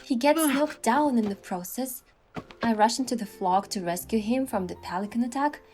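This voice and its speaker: A woman reading scripted first-person story narration in an expressive way, acting out the lines as a heroine. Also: a little high, normal speed. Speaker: narrator voicing a game's heroine.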